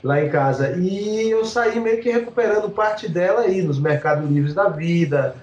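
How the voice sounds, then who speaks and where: Echoey, higher-voiced Bahian man chatting. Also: animated, normal speed, a little mellow. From Salvador, Brazil. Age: 50s. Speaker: interview guest, comics artist. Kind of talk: casual reminiscing. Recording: dull, echoey room sound.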